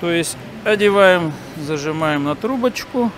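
A man in his forties giving a halting, step-by-step hands-on demonstration while he works. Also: fairly high pitch, expressive, a bit bright. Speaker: instructor demonstrating sawmill assembly. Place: large factory workshop hall, indoors. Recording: noisy industrial workshop ambience. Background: clear workshop noise.

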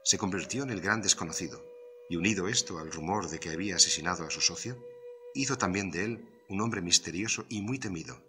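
A man's voice: deep voice, brisk, sharp tone. A man reading scripted narration as the storyteller of a radio drama serial.

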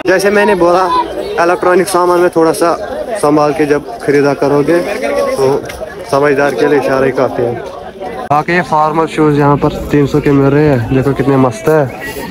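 A man's voice: a little high, moderate pace. Chatty young man in a noisy market. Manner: chatty, pointing out prices to viewers. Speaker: vlogger. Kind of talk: walking market tour vlog. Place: crowded outdoor street market. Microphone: handheld camera at arm's length. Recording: loud crowd noise around. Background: loud market crowd.

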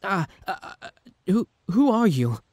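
A man's voice: sits high, sing-song, measured pace, a little mellow, full character voice. A man playing a timid, lovestruck teenage schoolboy in an audio roleplay, stammering and hesitant. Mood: nervous, flustered. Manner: stammering, hesitant, breathless eagerness. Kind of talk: scripted ASMR roleplay acting.

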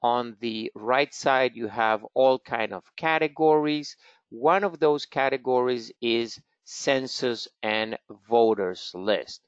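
Slow-spoken man with a Venezuelan accent. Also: medium pitch, clean tone, no room sound. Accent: Venezuelan Spanish. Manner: slow, careful, with many "uh" fillers. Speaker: genealogy expert hosting a webinar. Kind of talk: live webinar with screen-share walkthrough. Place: office in Israel. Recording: dull, slightly static home mic.

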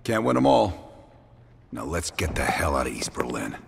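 A man playing a hard-bitten mission leader in a video game, terse and commanding, with a gravelly voice. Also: rumbling low, very animated, normal speed, background noise, exaggerated voice. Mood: grim, dismissive. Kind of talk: video game cutscene acting.

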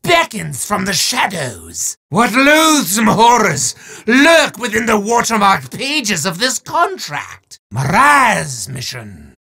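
An actor playing a bombastic, boastful evil wizard in a video game, with a raspy voice and theatrical, drawn-out proclamations that break into shouts. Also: male voice, sits high, exaggerated voice. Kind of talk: video game villain voice acting.

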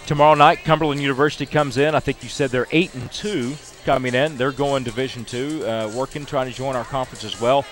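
A man's voice: mid-range voice, fairly even intonation, fast, hardly any pauses, fairly bright tone, faint music behind. Chatty older male sportscaster. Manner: chatty, stat-heavy, upbeat delivery. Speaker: sports broadcaster. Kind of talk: postgame interview. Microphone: headset mic.

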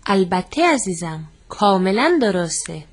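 A woman voicing a gentle, loving mother who speaks softly and patiently. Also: mid-range voice, big pitch swings. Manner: soft, tender, patient.

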